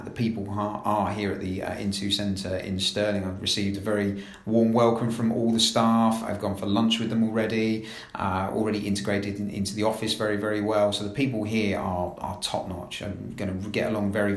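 A man's voice: fairly low pitch, level tone, normal speed. London guy, 30s, chatty new staff intro, repeats "very, very", roomy sound. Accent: London English. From London, England. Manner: chatty, frequent "uh" fillers and repeated "very, very". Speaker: assistant director of recruitment.

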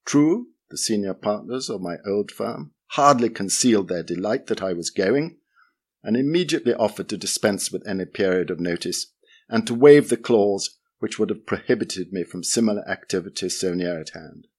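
A man reading a memoir chapter aloud in a measured, dry and understated way. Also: on the low side, animated, a little mellow.

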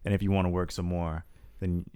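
A man's voice: sits low, flat delivery, a little fast, dark tone, smooth, no room sound, studio quality. A man co-hosting a podcast, chatting casually in an easygoing, wisecracking way. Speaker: podcast co-host. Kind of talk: casual chat.

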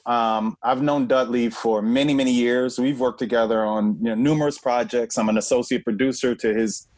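A man's voice: medium pitch, no room sound. Earnest male co-host, dry sound. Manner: earnest, lots of "you know" fillers. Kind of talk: talk show hosting.

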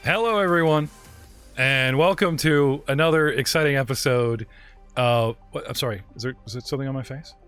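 A man's voice: mid-range voice, brisk, fairly bright tone, clear, resonant tone, soft background music. A man running a tabletop game as game master, joking and rambling with his players, often restarting his sentences. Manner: playful, rambling, stumbling restarts.

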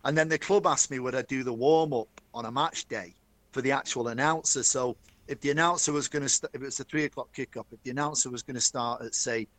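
Middle-aged Mancunian man, chatty storyteller, mid-pitched, dry close recording. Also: fast, a bit bright, dry recording. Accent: Manchester. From Manchester, England. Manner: chatty, enthusiastic, addresses host by name. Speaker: guest, stadium announcer. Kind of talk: storytelling interview.

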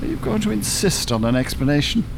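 A man's voice: on the high side, big pitch swings, fast talker, gravelly, noisy background. An actor playing an eloquent, cantankerous Dublin man in his seventies in a radio drama, wordy and pompous. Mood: wry, genial. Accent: Dublin Irish. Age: 70s. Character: eloquent, cantankerous, world-weary older Dublin gentleman.

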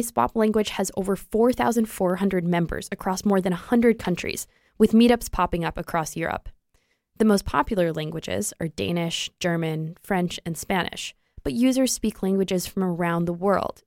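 A woman narrating a news feature in a measured, scripted delivery. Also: medium pitch, darkish tone, no echo, clean studio sound.

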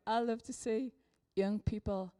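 An older woman delivers a sermon with a slightly hoarse voice from a cold, pouring out exclamations of praise. She speaks slowly, in a fairly high, mellow voice. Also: steady tone. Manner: warm, effusive, exclamatory preaching. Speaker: preacher.

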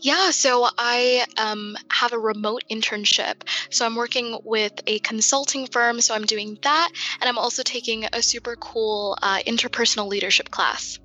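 Bright-voiced young woman guest. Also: a little high, steady tone, moderate pace, few pauses, faint music behind, low-quality recording. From United States. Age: young adult. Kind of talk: casual podcast chat.

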